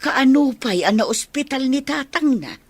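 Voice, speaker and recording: A woman's voice: sits high, animated, moderate pace, breathy edge, no echo, full character voice. An actress playing a middle-aged, concerned village mother in a radio drama. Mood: concerned, surprised. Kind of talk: Ilocano radio drama dialogue.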